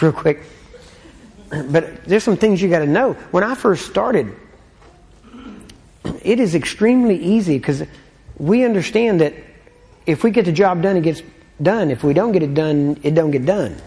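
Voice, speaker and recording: A man's voice: fairly high pitch, darkish tone, in a large hall. A man with a Texan accent preaching in a casual, folksy teaching style, full of joking asides and checks like "all right?". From Texas.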